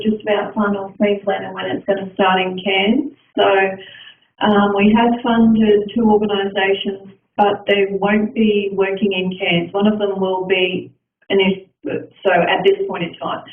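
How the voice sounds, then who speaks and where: A woman presenting for a department explains things in a measured way during an online session, with frequent ums. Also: mid-range voice, level tone. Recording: tinny, echoey video call with faint hiss.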